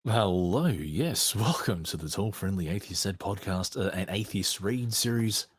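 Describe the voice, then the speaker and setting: A man's voice: deep voice, animated, unhurried, breathy edge. An Australian man hosting a podcast with casual, rambling commentary, often hesitating as he searches for words. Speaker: podcast host. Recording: loud car-like background noise.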